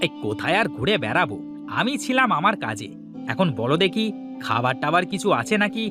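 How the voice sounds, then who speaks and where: A man playing a grumbling young village son in a Bengali comic cartoon, talking in an animated, colloquial way. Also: a little high, brisk, music in the background.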